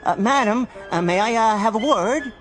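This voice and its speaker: A man's voice: high-pitched, normal speed, lightly acted. An actor playing a timid, polite salesman in a radio drama, hesitant, flustered and often stammering. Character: timid, bumbling, polite male vacuum cleaner salesman. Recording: music behind the voice.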